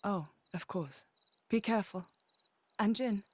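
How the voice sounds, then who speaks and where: Gentle, slow-speaking young woman. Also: mid-range voice, breathy edge, no room sound. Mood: friendly. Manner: gentle, encouraging. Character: kind, reassuring young woman adventurer. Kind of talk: video game cutscene acting.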